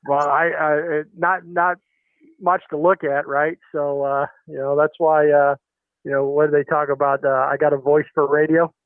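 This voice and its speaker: Middle-aged man, pitching coach, phone-line sound, higher voice, halting, lots of fillers. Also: little pitch movement, no echo. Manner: halting, many fillers and restarts. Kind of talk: phone interview.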